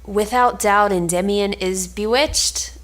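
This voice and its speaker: Woman acting as a sensible frank advisor, really slow, mid pitch, formal old play english. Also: lightly acted. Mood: concerned. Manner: measured, formal archaic phrasing. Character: sober, reasoning confidant, frank and admonishing. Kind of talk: amateur cold read of Elizabethan play.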